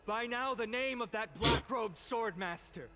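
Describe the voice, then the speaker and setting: A man's voice: extremely high pitch, measured pace. A man playing an impassioned young orator in a video game, addressing an assembly with emphatic, eloquent, theatrical rhetoric. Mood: grave, urgent. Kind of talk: dramatic theatrical game voice acting, public oration.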